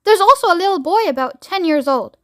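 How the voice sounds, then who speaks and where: A woman's voice: very high-pitched, expressive, brisk, a bit bright, no room sound, clean studio sound, pitched above the performer's own voice. A woman voicing a flustered, cheeky male astronaut in a children's audiobook, blurting things out with emphasis. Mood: astonished reporting.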